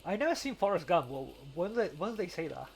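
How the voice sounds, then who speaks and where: A man's voice: sits high, big pitch swings, fairly quick, creaky, slight background noise. A man casually chatting as he plays a game on a podcast, joking and rambling with repeated words. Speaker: podcast co-host and game player. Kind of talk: casual gaming chat while playing. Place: remote voice call.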